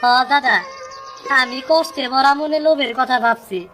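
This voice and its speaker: A woman's voice: sits high, moderate pace. A woman voicing a poor young cartoon fisherman, earnest and pleading, in eastern Bengali rural dialect. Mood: remorseful pleading. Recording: loud music behind the voice.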